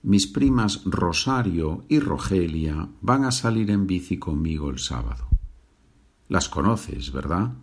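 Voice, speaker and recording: A man from leads a listening exercise as a teacher. His intonation is highly animated, rising and falling widely. He speaks deliberately and didactically, setting out each practice sentence for learners. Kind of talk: language lesson, reading numbered practice sentences.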